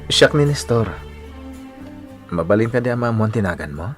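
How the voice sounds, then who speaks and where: A friendly Filipino schoolteacher in a radio drama. His delivery swings up and down in pitch, chatty and eager to help. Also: big pitch swings, moderate pace, soft background music. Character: Nestor, friendly helpful male schoolteacher courting a colleague. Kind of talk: radio drama acting in Ilocano.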